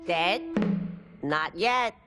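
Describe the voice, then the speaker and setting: A woman playing a wry, tough older mentor in a video game, with a dry, unhurried, knowing manner. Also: lowish voice, lively intonation, music in the background. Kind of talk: cinematic game dialogue.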